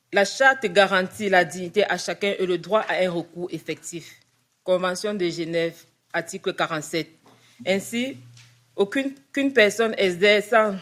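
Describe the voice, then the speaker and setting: A woman reading a prepared statement on legal rules at a meeting, stumbling and restarting over the formal wording. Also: medium pitch. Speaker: speaker at a parliamentary meeting.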